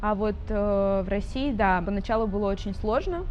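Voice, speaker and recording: A woman's voice: medium pitch, normal speed, slight background noise. A young woman in her twenties, born in Saint Petersburg, tells her life story openly in a documentary interview, with frequent fillers and light laughs. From Saint Petersburg, Russia. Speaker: interviewee, architecture student and drawing teacher.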